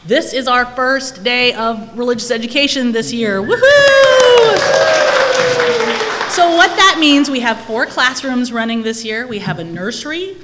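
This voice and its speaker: A hoarse woman giving upbeat church announcements at a microphone, listing details. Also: on the high side, lively intonation, a little slow, over a noisy crowd, very noisy. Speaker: religious education coordinator. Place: church sanctuary. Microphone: microphone at a lectern. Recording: long reverb of a church hall.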